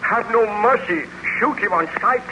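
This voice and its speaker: A man's voice: high-pitched, moderate pace, muffled recording, close to an everyday voice. An actor playing a proud, guilt-ridden nobleman scientist, speaking formally and earnestly in 1930s radio style. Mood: commanding. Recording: noisy 1930s radio recording.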